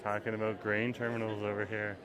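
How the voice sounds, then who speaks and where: A man's voice: on the low side, level tone, a little fast. A man giving a casual, rambling walking-tour commentary on a livestream, outdoors at a busy waterfront festival. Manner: rambling, chatty, reads viewer comments aloud. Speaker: livestream vlogger. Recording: loud crowd noise outdoors. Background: festival crowd chatter.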